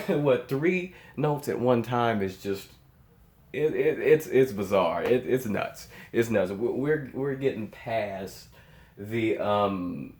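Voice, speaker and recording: An American man giving casual, off-the-cuff reaction commentary as a channel host, emphatic and repeating himself, often halting as he searches for words. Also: fairly low pitch, a little mellow. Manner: emphatic, repeats phrases in amazement. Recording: echoey, roomy sound.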